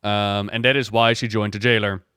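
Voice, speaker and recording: A man hosting a gaming reaction video, talking casually and retelling things in an animated way with repeats for emphasis. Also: fairly low pitch, normal speed, smooth, dry recording, clean studio sound.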